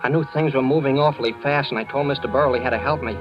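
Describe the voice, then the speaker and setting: A low-pitched, boyish young American man, acted by a male radio player, nervous and evasive yet earnest. He speaks in 1940s radio drama style on a dull old recording with music. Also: fairly even intonation, very fast, natural voice. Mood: confiding. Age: young adult. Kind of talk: 1940s radio acting with first-person narration.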